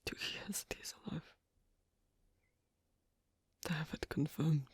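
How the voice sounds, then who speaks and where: A man playing a gentle, worried royal of a fantasy kingdom in an ASMR story roleplay, speaking in a breathy, husky whisper with halting, formal phrasing. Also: a little high, steady tone, no room sound, clean studio sound, heavily acted. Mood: pained, concerned.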